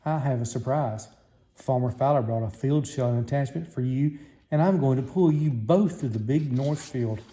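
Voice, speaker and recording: A man's voice: medium pitch, moderate pace, warm tone, close to an everyday voice. A man voicing a friendly, cheerful tractor who encourages his best friend in a children's storybook. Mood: confiding.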